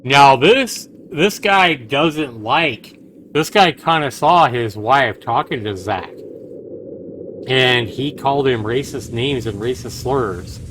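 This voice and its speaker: A man's voice: mid-range voice, animated, takes it slowly, a bit bright. A man livestreaming, reading game text aloud like a dramatic storyteller, with casual asides. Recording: clear background noise on stream.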